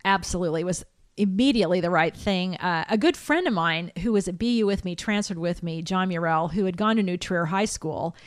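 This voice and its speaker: Picture a middle-aged American woman recalling her years of music training in a relaxed chat. Her speaking voice sits a little low for a woman, and it comes across dry and close. She talks in a reflective way, with many restarts and fillers. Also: fairly quick, dry recording. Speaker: interview guest, soprano singer. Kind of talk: relaxed conversational interview.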